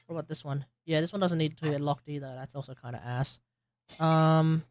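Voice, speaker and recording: A young adult Australian game streamer, rambling casually while playing, musing aloud and chatting to viewers with sudden loud outbursts. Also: medium pitch, steady tone, a little fast, dull audio, a little hiss or hum.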